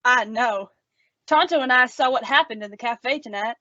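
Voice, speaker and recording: A woman's voice: on the high side, moderate pace, pushed and bright, dry recording, highs cut off. A woman playing an eager, earnest, inquisitive boy in an old-time radio western. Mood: curious, earnest. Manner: wide-eyed, inquisitive.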